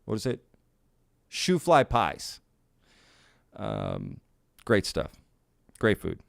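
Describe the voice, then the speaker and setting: A man's voice: fairly low pitch, huge pitch swings, very slow, creaky, dry recording, studio quality. A male live stream host in a casual Q&A, talking in a laid-back, folksy way, often saying y'all and reading out viewer comments. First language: bilingual Spanish and English.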